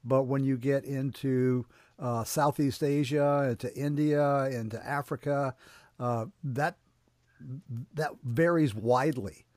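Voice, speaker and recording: Male host, hesitant and rambling, dry close sound, mid pitch. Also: fairly even intonation, a little mellow, dry recording. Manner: halting, many fillers and restarts. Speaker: interview host. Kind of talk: conversational interview.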